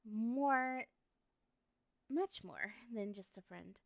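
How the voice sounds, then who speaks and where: A woman's voice: mid-range voice, animated, extremely slow, vocal fry, no room sound, clean studio sound, exaggerated voice. A woman playing a lovestruck, obsessive young woman in an ASMR roleplay, talking to the listener in a coy, rambling, hesitant way. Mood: pointed, defensive.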